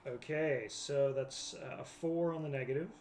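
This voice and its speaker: A woman's voice: very deep voice, moderate pace, a little hiss or hum. A woman chatting casually as a player in a tabletop roleplaying game. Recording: loud group chatter behind.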